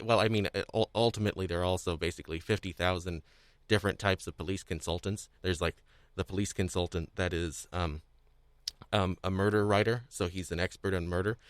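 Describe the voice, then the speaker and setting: A man's voice: sits low, smooth, dry recording. A man in casual discussion as a podcast co-host, rambling and often rephrasing himself mid-sentence.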